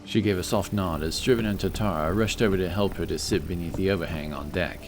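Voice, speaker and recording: A man's voice: low-pitched, quick pace, noisy background. A man reading scripted fantasy narration as a storyteller, with music behind his voice.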